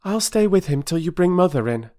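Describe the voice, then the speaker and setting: A man's voice: high-pitched, lively intonation, a little fast, dark tone, pitched above the performer's own voice. A man voicing an earnest young girl who talks breathlessly and pleads in a storybook reading. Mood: gentle.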